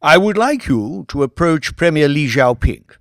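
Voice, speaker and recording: Man reading brisk commanding lord, older financier, audiobook figure, lively pitch. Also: no room sound, clean studio sound, full character voice.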